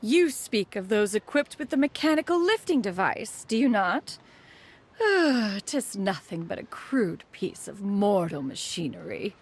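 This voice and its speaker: A woman's voice: medium pitch, slightly rough. An actress voicing a proud, ancient female scholar in a video game, speaking in a formal, archaic, aloof way. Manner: formal, archaic, aloof speech, saying "one" for herself. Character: proud, haughty ancient female scholar-inventor posing as mortal. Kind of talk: scripted video game character dialogue.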